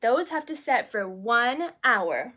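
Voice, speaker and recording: A woman's voice: on the high side, very animated, slow pace, pushed and bright, heavily acted. A woman voicing a friendly, slightly fussy royal hairdresser in a children's storybook. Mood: kindly instructing.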